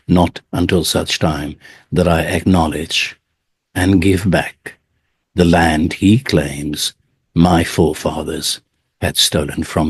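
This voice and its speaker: A man's voice: sits low, husky. A South African man, a journalist interviewing a guest, who talks in an earnest, emphatic way and repeats phrases when pressing a point. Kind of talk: interview with narrated intro.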